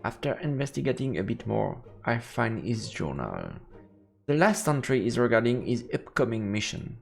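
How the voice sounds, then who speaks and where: Creaky, lively male game streamer. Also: medium pitch, normal speed. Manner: informal, stumbling over read text. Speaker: let's play streamer. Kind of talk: live commentary, reading game text aloud. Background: game music.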